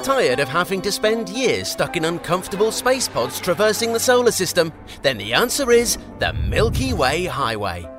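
A slick commercial announcer played by a man, selling hard with polished enthusiasm. His fairly high voice bounces animatedly over a clear music bed in this spoof advert. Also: big pitch swings, moderate pace, few pauses. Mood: upbeat salesy. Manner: salesy, upbeat, polished.

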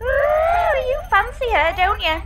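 A woman's voice: extremely high pitch, very animated, slow pace, over loud music, heavily acted. A British woman playing a cheeky, insulting space-pod computer in a sci-fi comedy audio drama, mocking and blunt.

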